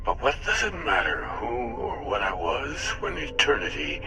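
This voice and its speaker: An actor playing a cynical immortal villain in a video game, gravelly and sardonic, goading the listener. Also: male voice, medium pitch, very animated, normal speed, over loud music, low-quality recording. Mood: taunting. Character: cynical, taunting immortal male villain, formerly human. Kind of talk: dramatic video game acting.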